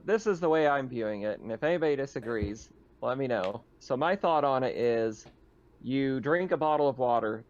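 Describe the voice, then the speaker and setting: A man's voice: mid-range voice, a little mellow. A man acting as game master, casually explaining rules to players in a conversational way. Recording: dull lo-fi voice recording.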